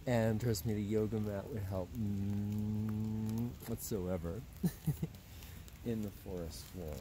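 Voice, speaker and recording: A man in his fifties talking slowly and musingly while doing yoga in a forest, slightly out of breath, recorded on a phone camera set on the ground. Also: fairly low pitch, steady tone, noisy background. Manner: slow, musing, drawn-out phrases with sighs and soft laughs. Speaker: yoga instructor. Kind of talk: meandering vlog talk while doing yoga. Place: outdoors in a forest.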